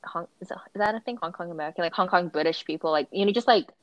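Young woman, lower voice, dry sound. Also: rapid-fire, no room sound, muffled recording. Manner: casual, hedging with frequent "like". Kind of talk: casual conversation.

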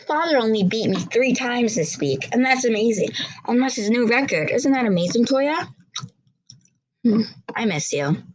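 A woman voicing a timid, eager teenage girl student who talks haltingly with many restarts. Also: on the high side, lively intonation, voice pushed up, rough recording. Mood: eager, wistful.

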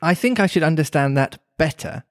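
A man voicing a polite, curious storybook girl who can be a little sharp. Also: a little high, lively intonation, brisk, dry recording, clean studio sound, pitched above the performer's own voice, natural voice. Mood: polite, doubtful. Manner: polite, prim.

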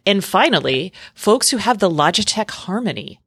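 A woman's voice: mid-range voice, very animated, moderate pace, a bit bright, slightly rough, dry recording, studio quality. A woman hosting a tech podcast, talking in a chatty, informal way with lots of "like" thrown in. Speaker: podcast host. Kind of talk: casual tech podcast chat.

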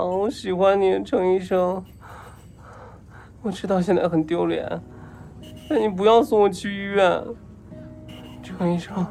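Audio drama role, male actor: drugged young man, clingy and pleading, slurred breathless delivery, gravelly fry. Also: sits high, darkish tone, full character voice. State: weak and feverish from drugs. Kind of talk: Chinese audio drama acting.

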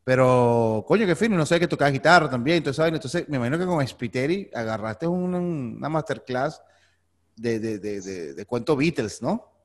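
A man's voice: mid-range voice, animated, moderate pace. A male host, interviewing in an informal, slangy, friendly way. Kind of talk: casual interview. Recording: long reverb.

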